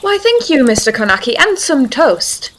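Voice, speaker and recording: Woman as a high, lilting genteel lady. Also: sing-song, moderate pace, a bit bright. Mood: polite. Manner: prim, genteel. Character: polite, sensitive young lady clairvoyant. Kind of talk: period audio drama acting.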